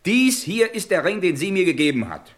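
A man's voice: high-pitched, sing-song, normal speed, no echo. An actor playing an insistent, defiant man on the run in a radio crime play. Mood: indignant.

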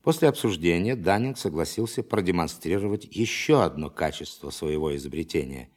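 An actor playing an authoritative official giving a formal, emphatic briefing to scientists in a radio play. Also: male voice, sits low, expressive, a little mellow. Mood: measured, formal. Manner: formal, emphatic, commanding, dramatic pauses. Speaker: presenter of a demonstration.

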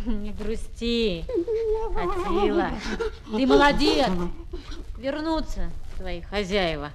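A woman's voice: a little high, sing-song, slow, crowd noise. A woman playing a kind schoolboy with a tender, coaxing, boyish manner in a Soviet children's radio play. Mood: sympathetic. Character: kind schoolboy who looks after lonely dogs. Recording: noisy 1970s flexi-disc recording.

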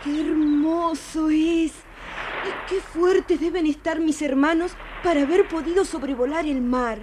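Sweet high-voiced young princess. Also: female voice, steady tone, a little slow. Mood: tender wonder. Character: sweet, affectionate fifteen-year-old princess. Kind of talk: audio fairy tale acting. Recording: noisy vintage children's story recording.

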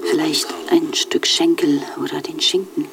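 An actor playing a meek, resigned victim in a radio drama, speaking in a creaky whisper. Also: male voice, on the high side, fairly even intonation, moderate pace, over a noisy crowd. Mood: wistful, resigned. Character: meek, resigned victim wishing to eat himself.

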